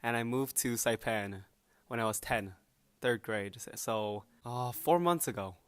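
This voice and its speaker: A young male student answers interview questions in a straightforward, matter-of-fact way. The sound is dry and close, and his voice is at a middle pitch. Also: a little slow, clear, resonant tone, no echo. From Korea.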